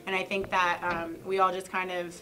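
Young woman college athlete at a table mic, bright voice, easygoing hedging answers, a little distant. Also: lowish voice, moderate pace, slight background noise. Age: young adult. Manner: relaxed, hedging with "you know" and "I mean". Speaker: college basketball player. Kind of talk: post-game press answers. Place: press conference room.

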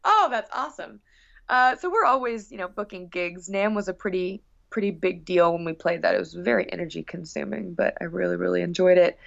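A woman's voice: fairly low pitch, fairly quick, creaky. Gushing, chatty woman on dull audio. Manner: gushing, excitable, rambling. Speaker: guest musician. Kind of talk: casual, chatty interview.

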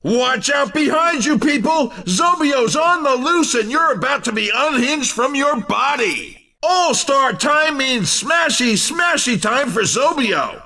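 An over-excited male video game race commentator with a very high, sharp voice. He delivers each line like a hammy showman, exclamatory and playful, yet measured in pace. The voice sounds a little distant from the mic. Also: full character voice. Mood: excited, urgent. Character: over-excited male cartoon racing announcer, playful and punning.

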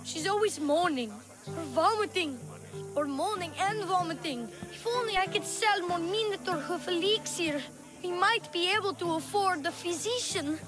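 A woman's voice: very high-pitched, normal speed, music in the background. A woman playing a cheeky young boy tour guide and sly con artist in a video game, talking in glib salesman patter with feigned innocence. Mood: wheedling. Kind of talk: video game character acting.

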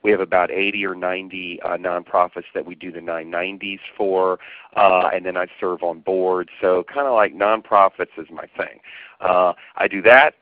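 A man's voice: low-pitched, steady tone, normal speed, no echo. A middle-aged man with a Southern accent presents a webinar in a chatty, friendly way, with many fillers. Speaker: presenter, accountant and trainer. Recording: tinny phone line.

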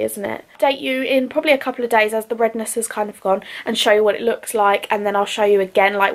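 Young British woman, high voice, casual vlogger talking to her phone camera at home, umming often. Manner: chatty, lots of ums and restarts. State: losing her voice, under the weather. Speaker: beauty vlogger. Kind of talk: casual chatty vlog update. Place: bedroom at home, indoors. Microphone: handheld camera or phone at arm's length.

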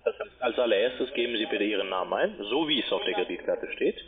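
A man's voice: lowish voice, lively intonation, fast talker. A man working as a call centre agent, instructing a customer step by step over the phone. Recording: phone line with busy call-centre chatter.

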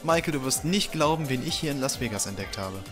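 A man's voice: mid-range voice, expressive, quick pace, music in the background, lightly acted. A man playing an inquisitive, cautious hero's partner in an audio drama. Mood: surprised, curious. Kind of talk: German fan audio drama acting.

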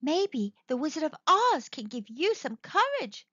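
A woman plays a small, earnest storybook girl with a high, bright voice. The delivery is wide-eyed and pleading, with big lifts in pitch. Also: very animated, measured pace, no room sound, muffled recording, full character voice. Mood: encouraging.

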